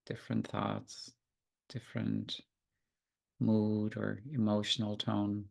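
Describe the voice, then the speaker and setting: A man leads a guided meditation in a lowish, very creaky voice. His intonation stays fairly even as he offers gentle, unhurried instructions, leaving long pauses between them.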